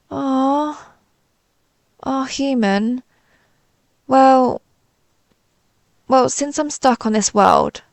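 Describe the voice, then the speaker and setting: Girl voice actor doing a sad suspicious little alien pizza slice, rambly and unsure, lots of vocal fry, super dry audio roleplay sound. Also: medium pitch, no echo. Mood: softened, shy. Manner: hesitant, rambling, self-deprecating. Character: timid, wounded, suspicious female alien pizza slice, would-be conqueror. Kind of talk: scripted roleplay audio monologue.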